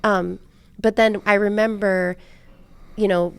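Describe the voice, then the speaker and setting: A woman's voice: medium pitch, steady tone, slow pace, clear, resonant tone, no room sound. A woman hosting a podcast interview in a casual, chatty and anecdotal way, with informal phrasing. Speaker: podcast host.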